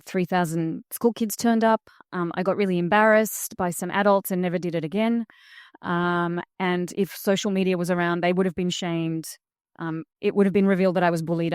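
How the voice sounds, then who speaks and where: Smooth-voiced hesitant woman panelist. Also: lowish voice, darkish tone, dry recording, clean studio sound. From Australia. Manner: hesitant, with many fillers. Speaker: panel speaker. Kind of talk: casual spoken answer. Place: conference hall.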